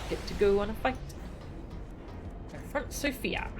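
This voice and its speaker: A woman's voice: mid-range voice, lively intonation, a little slow. A woman streaming a video game, chatting casually, reading game text aloud and laughing often. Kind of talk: casual gameplay commentary. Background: game music.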